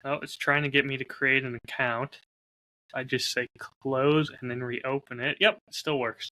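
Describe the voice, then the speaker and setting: A man co-hosting a casual podcast. His voice sits at a middling pitch with a very bright, forward edge. He talks in a measured, low-key way, often softening what he says. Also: level tone, low-quality recording. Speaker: podcast co-host and audio drama creator.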